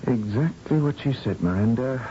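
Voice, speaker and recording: A man's voice: lively intonation, normal speed, dark tone, natural voice. An actor playing a weary, grim male scientist in a radio drama, with a blunt, resigned manner. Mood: grim resignation. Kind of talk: 1970s-style radio drama acting. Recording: muffled, noisy old radio broadcast with rumble.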